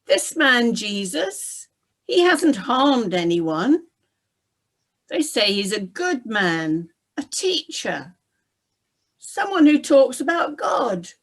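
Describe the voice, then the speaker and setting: A woman voicing a troubled, conscience-stricken male Roman soldier, speaking slowly and earnestly. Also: sits high, sing-song, pitched above the performer's own voice, exaggerated voice.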